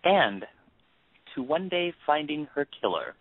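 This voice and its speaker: A man acting in an audio play as a bitter grieving father turned cold avenger, accusing and sarcastic in a deliberate, commanding way. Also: a little high, very animated, dry recording, exaggerated voice. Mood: coldly polite. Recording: tinny phone-like band.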